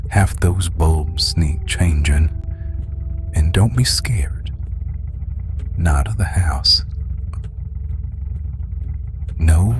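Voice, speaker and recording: A man playing a brooding, protective alpha werewolf rancher boss in an intimate ASMR romance roleplay, murmuring in a terse, commanding way. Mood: protective, gruff. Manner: terse, commanding, murmuring low with long pauses.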